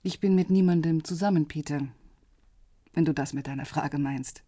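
A woman playing a wry, bitter, guarded middle-aged divorcee in radio drama dialogue, with a husky, sardonic delivery. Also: low voice, moderate pace, mellow, no room sound, clean studio sound.

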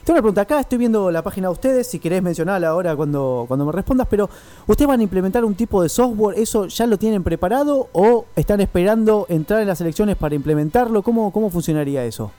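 A man's voice: high-pitched, animated, fast talker, dark tone. A man with a Buenos Aires accent chatting casually as a radio host, informal and rambling. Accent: Argentine, Buenos Aires. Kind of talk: casual radio interview chat.

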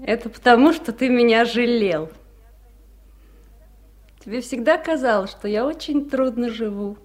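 An actress playing a caring mother who appears young in memory, gentle and solicitous, in a 1970s radio drama. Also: on the high side, unhurried. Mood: reassuring.

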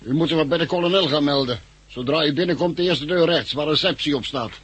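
Curt, high-pitched male guard. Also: quick pace, fairly bright tone, husky. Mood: curt, instructing. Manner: curt, instructing. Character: gruff, dutiful male guard at a door. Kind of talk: 1960s Dutch radio drama acting.

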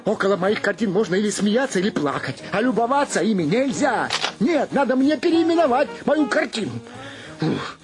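A man's voice: sits high, very animated, normal speed, music in the background. An actor playing a dreamy, idealistic middle-aged painter and father in a radio play, musing and philosophizing earnestly. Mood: indignant.

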